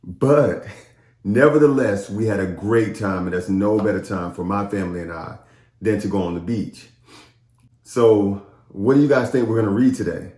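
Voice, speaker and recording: A man, a children's storytime host, speaking playfully and directly to young listeners in an animated read-aloud style. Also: fairly low pitch, moderate pace, reverberant.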